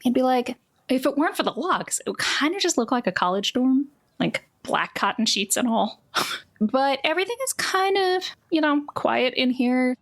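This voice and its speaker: A woman's voice: high-pitched, sing-song, moderate pace. A woman narrating a tabletop game as game master, improvising descriptions in a conversational way with casual asides.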